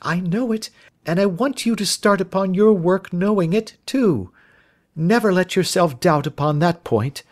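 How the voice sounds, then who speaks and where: A man's voice: high-pitched, voice pushed up. A man voicing an earnest, impassioned young Victorian lady in an audiobook, with a fervent, pleading manner.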